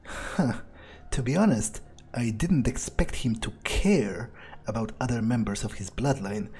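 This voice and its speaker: A man's voice: medium pitch, a little slow, mellow, close to an everyday voice. A man role-playing a cynical, arrogant vampire in a game playthrough, musing aloud in a casual, hesitant way. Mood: detached, skeptical. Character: cynical, arrogant male vampire thinking aloud, coolly skeptical. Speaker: let's player role-playing in character. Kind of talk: in-character game playthrough commentary.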